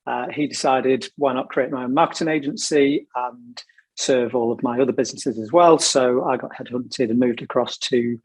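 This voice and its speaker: A man speaks as a panel guest, his voice husky and worn from a sore throat. He rambles casually through his working life in a chatty, storytelling way. The sound is dry and close, with no room around it. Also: mid-range voice, steady tone, moderate pace, dry recording, rough recording. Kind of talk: casual career talk.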